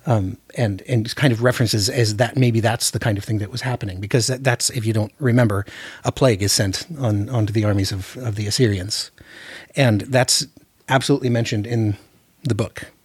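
A man's voice: fairly low pitch, steady tone, a little fast, breathy edge, no echo. A man hosting a casual film discussion, often repeating words as he works out his thoughts. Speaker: podcast host.

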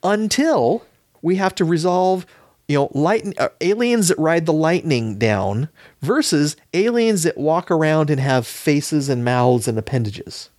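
Animated higher-pitched man talking films. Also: measured pace, no room sound, clean studio sound. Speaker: podcast host. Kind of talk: casual film discussion.

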